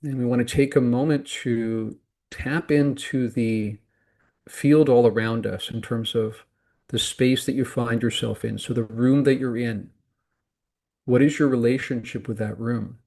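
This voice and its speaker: Male meditation guide, mid-range voice, calm and deliberate, giving instructions in short, spaced phrases. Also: mid-range voice, vocal fry. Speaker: meditation teacher.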